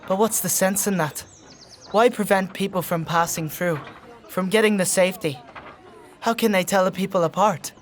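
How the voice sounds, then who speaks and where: An actor voices an earnest young squire boy in a dark fantasy audio drama. He is plain-spoken, questioning and naive, with a light youthful voice. Clear ambience with animal and bird sounds runs behind him. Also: medium pitch, moderate pace, a little hiss or hum, natural voice.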